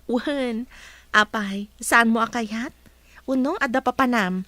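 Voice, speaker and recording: High-voiced eager young bride-to-be. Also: female voice, slow, bright, forceful tone, no room sound. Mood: eager, bossy. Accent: Ilocano Filipino. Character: young bride-to-be, eager, insistent, devoted daughter. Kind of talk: Ilocano radio comedy drama acting.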